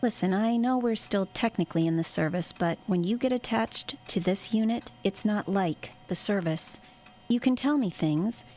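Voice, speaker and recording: An actress playing a cold, calculating superior in a covert military unit, clinical and authoritative, in an audio drama. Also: female voice, mid-range voice, normal speed, dark tone, a little hiss or hum, close to an everyday voice. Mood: coolly calculating. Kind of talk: audio horror drama acting.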